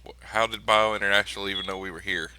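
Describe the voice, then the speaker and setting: A man's voice: lowish voice, steady tone, fast, bright, forceful tone, clean tone, low-quality recording. A man playing a tabletop role-playing game, casually calling his character's actions and speaking as him.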